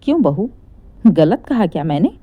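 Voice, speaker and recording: Woman voicing a stern, sarcastic mother-in-law, very animated. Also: fairly low pitch, moderate pace, husky, no echo, narrow, tinny audio, pitched below the performer's own voice. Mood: sarcastic, accusing. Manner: scolding, pointed rhetorical questions. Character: stern, sarcastic middle-aged Indian mother-in-law, righteously indignant.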